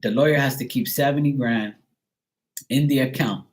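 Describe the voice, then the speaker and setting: A man's voice: medium pitch, moderate pace. A man from Bermuda chatting casually on a live stream, telling rambling everyday stories with lots of fillers. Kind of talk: casual chat.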